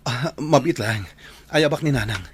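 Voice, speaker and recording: A man's voice: mid-range voice, expressive, normal speed, breathy edge. A man with an Ilocano accent playing a worried, devoted young husband in a radio drama, speaking with urgent concern. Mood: alarmed.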